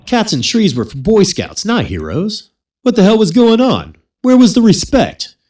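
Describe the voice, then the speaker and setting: A man's voice: high-pitched, huge pitch swings, normal speed, darkish tone. A man narrating an adult comedy radio drama, reading scripted storytelling with wry, crude humour.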